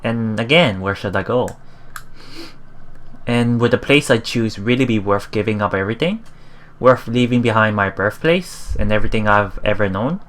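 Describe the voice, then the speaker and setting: A let's play host reading a visual novel aloud in a halting way, with casual, musing commentary. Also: medium pitch. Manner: halting, musing, repeats phrases.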